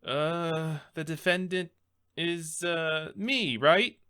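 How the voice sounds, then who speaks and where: Guy doing a nervous young rookie lawyer from a game, earnest, bright voice, hesitant. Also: fairly high pitch, very slow, smooth, exaggerated voice. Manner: hesitant, stammering.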